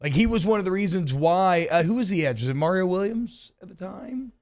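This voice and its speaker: Excitable sports radio host, man. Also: high voice, a little fast. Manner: animated, swearing, rambling with restarts. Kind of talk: casual sports talk banter. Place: radio studio.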